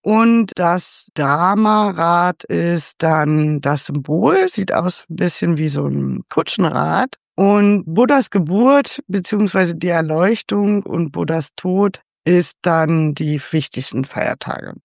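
A woman talking through a topic in a relaxed, chatty way, her voice carrying a gravelly, creaky texture. The sound is close and dry, with no room around it. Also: lowish voice, slow pace, hardly any pauses, no echo. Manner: chatty, a bit unsure, asks for confirmation. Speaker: host. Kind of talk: casual explanatory overview.

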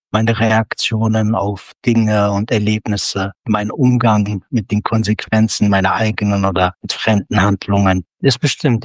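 A non-native German-speaking man with a fairly low voice, talking thoughtfully and pausing now and then to find the right words. The sound is close and dry. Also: no room sound. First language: not German. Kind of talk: personal conversation.

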